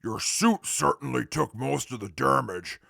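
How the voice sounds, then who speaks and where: A man playing a huge, intellectual male super mutant with a gravelly voice and pompous, formal diction in an audio drama. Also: medium pitch, measured pace, pushed and bright, dry recording, studio quality, exaggerated voice. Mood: grave, curious. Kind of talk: post-apocalyptic audio drama acting.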